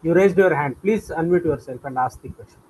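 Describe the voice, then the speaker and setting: A man moderating a webinar over a video call, quick and helpful, fumbling slightly, heard from a distant mic. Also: fairly high pitch, a little mellow, slight background noise.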